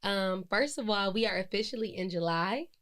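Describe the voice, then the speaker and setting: A young woman in her twenties talks through a solo podcast episode in a loose, reflective style. She rambles a little, corrects herself, and fills pauses with "um" and "like". Her voice sits at an ordinary middle pitch. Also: a bit bright. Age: 20s. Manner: chatty and reflective, with frequent fillers and self-corrections. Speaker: podcast host. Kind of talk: casual solo podcast monologue.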